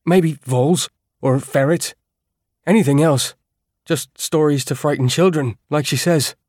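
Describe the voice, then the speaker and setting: A man's voice: a little high, unhurried, a little mellow, no echo, studio quality, pitched above the performer's own voice. A man voicing a male companion who tries to sound authoritative and dismissive, in an audiobook. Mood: blustering.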